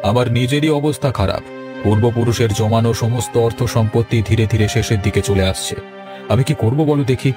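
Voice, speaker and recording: A man's voice: mid-range voice, brisk, music in the background, lightly acted. A man voicing a kindly, worried older village landlord in a cartoon, earnest and paternal. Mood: troubled, sorrowful. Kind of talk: Bengali fairy-tale cartoon dialogue.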